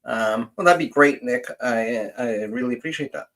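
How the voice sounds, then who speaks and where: A middle-aged man hosting a casual livestream as a genealogist, rambling and thinking aloud in a halting way. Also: medium pitch, fairly bright tone.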